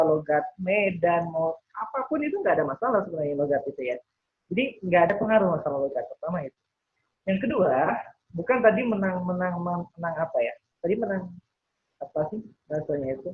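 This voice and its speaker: A man teaching interactively as a trainer, explaining, checking that listeners follow and voicing imagined thoughts as examples. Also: sits high. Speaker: NLP trainer leading a webinar. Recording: thin phone-like webinar audio.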